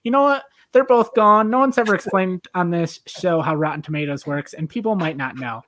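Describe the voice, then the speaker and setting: A man's voice: sits high, a little fast, a bit bright. Male podcast host and judge, informal explainer, easy conversational delivery. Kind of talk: casual explanation and banter.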